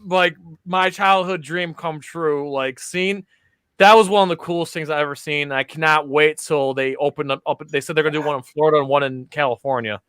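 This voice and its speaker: A man talks fast and eagerly with his co-hosts, his voice bright and slightly high. He laughs easily and stumbles over his words. The sound is dry and close to the mic. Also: smooth, no echo. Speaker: podcast co-host. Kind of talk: casual group chat.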